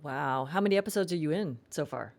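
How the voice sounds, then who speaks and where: A woman's voice: low voice, expressive, quick pace, darkish tone. A woman hosting a podcast in a casual, informal interview style with a chatty way of talking. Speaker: host.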